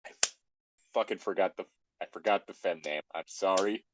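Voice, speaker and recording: A man's voice: sits low, normal speed, background noise. A man hosting an online show in casual, rambling banter, self-deprecating and prone to swearing.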